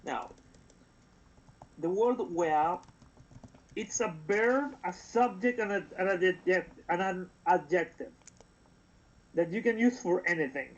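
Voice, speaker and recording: A man's voice: high voice, slow pace, pauses often. A man from Chile with a Chilean accent and a nasal voice, chatting casually on a live stream and rambling as he loses his thread. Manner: rambling, trails off and restarts. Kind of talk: casual chat. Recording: dull lo-fi sound.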